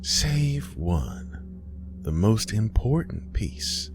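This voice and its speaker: A man voicing a sly spirit in a mother's shape for a horror story, sweetly taunting in a drawn-out folksy way. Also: lowish voice, sing-song, gravelly, a little mellow, faint music behind, pitched above the performer's own voice, full character voice. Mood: eerie coaxing. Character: sly menacing female spirit wearing a mother's face.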